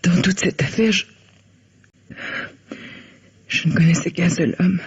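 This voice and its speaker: A woman's voice: lowish voice, steady tone, measured pace, darkish tone, husky, heavily acted. An actress playing a desperate female accomplice in a vintage French radio crime drama, with a dramatic delivery. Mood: weak, halting. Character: desperate female accomplice in a drug affair, pleading and threatening. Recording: dull lo-fi old radio recording.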